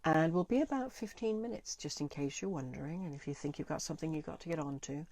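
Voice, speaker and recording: A woman leading a guided meditation in a soothing, deliberate way, pausing between short phrases. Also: low voice, darkish tone. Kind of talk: guided meditation, gentle spoken visualisation.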